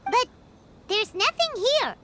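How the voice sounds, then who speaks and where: A woman voicing a small, chatty, easily indignant childlike fairy companion in an animated video game. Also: very high-pitched, takes it slowly, slight background noise, heavily acted. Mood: curious.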